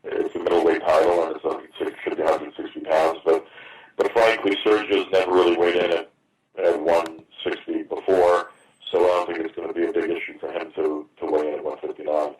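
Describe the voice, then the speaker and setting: A man in his fifties answering questions on a conference call as a boxing promoter, halting and often repeating words. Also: low-pitched, flat delivery, darkish tone. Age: 50s. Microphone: phone on a conference call. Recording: roomy, distant, noisy teleconference phone line.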